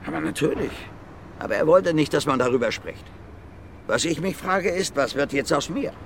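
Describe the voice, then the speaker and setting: Blunt middle-aged brawler, swinging intonation. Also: male voice, on the high side, animated, normal speed, gravelly, slight background noise. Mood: brooding, insistent. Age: 50s. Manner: blunt, plain-spoken, repeating himself. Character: gruff, blunt, self-confessed violent brawler in his fifties. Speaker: suspect being questioned. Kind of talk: radio drama acting.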